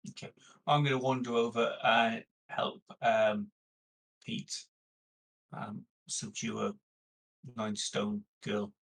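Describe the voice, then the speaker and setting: A man's voice: fairly low pitch, fairly even intonation, slow pace, creaky, pauses often, a bit bright, low-quality recording. A man playing a tabletop roleplaying game, speaking in casual table chat.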